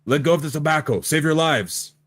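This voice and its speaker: A man's voice: fairly high pitch, fairly quick, a bit bright, dry recording. A man playing a tabletop game, speaking in character with urgent, directing lines. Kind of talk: in-character roleplay.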